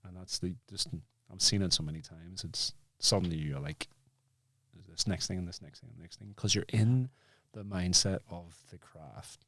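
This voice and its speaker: A man's voice: low-pitched, normal speed, a bit bright, dry recording. A man hosting a casual interview, talking bluntly and emphatically, repeating himself and checking that the listener agrees. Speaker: podcast host, acting coach. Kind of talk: casual chat.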